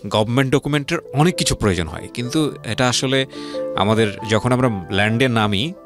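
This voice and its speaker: A man's voice: mid-range voice, expressive, a little fast, music in the background. A man with a Bangladeshi accent hosting an interview conversationally, switching into English, with short acknowledgements and questions. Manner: switches between Bengali and English. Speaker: interview host.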